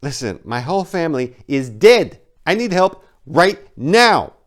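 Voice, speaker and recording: A man's voice: a little high, sing-song, measured pace, voice pushed up. A man voicing a distressed, insistent young woman in a crime story, urgent and frustrated. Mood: desperate.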